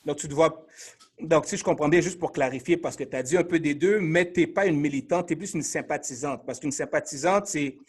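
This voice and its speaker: A man's voice: a little high, a little fast, husky. A man with a Quebec French accent hosting a casual panel interview, probing and rephrasing his questions as he goes. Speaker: podcast host.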